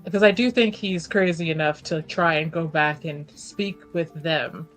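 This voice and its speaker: A woman playing a tabletop roleplaying game, casually musing out loud over what her character should do. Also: low voice, normal speed, faint music behind.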